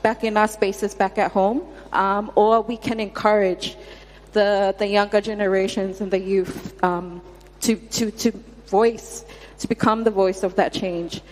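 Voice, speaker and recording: A woman's voice: medium pitch, level tone, a little slow, darkish tone. Female delegate from Samoa, hesitant with fillers, hall reverb. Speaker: conference delegate. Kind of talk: audience remarks.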